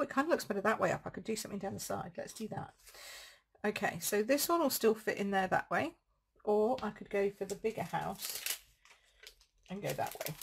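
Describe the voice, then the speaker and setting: Woman, croaky voice, rambling and thinking aloud, casual live craft demo, light background hiss. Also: mid-range voice. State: croaky throat. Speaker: card-making demonstrator.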